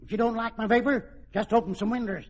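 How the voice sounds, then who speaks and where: An actor playing an excitable rustic Texan cowboy sidekick who hollers folksy exclamations in a drawl, in old-time radio comedy style. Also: male voice, very high-pitched, quick pace. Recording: muffled, hissy old radio recording.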